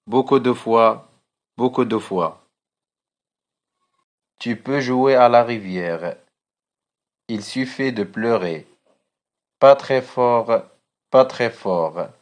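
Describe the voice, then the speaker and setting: A man recites poetry as a teacher would for pupils. His delivery is extremely slow and deliberate, each short phrase measured out. The voice sits at a middling pitch for a man.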